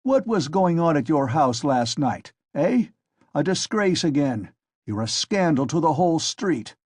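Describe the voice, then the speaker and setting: A pompous police officer berates a woman in a loud, bullying tone, as a male reader acts him out. His voice runs a little higher and louder than the narration, with a husky grain. The sound is dry and close. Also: no room sound. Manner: shouting, bullying, contemptuous.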